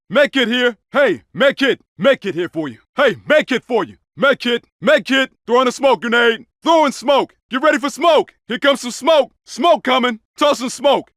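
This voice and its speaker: An actor playing an undercover police officer in a video game, giving brisk, commanding tactical callouts in a clipped way. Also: male voice, very high voice, fairly bright tone, dry recording, studio quality. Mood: urgent, shouting. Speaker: video game player character voice. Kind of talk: video game combat callouts and radio dispatch reports.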